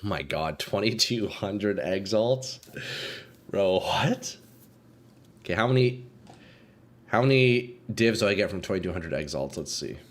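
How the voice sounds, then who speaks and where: A young man doing casual live commentary while playing a game, a bit congested and clearing his throat often, swearing and talking to chat. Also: lowish voice, animated, measured pace, pauses often. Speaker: game streamer.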